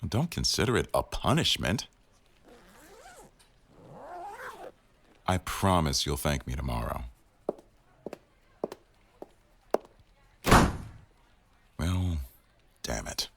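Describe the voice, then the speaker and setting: A man playing a teasing, bossy but doting newsroom boss in an intimate boyfriend roleplay audio, with a wry, unhurried delivery. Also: extremely low pitch, very animated, background noise, exaggerated voice. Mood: teasing, stern.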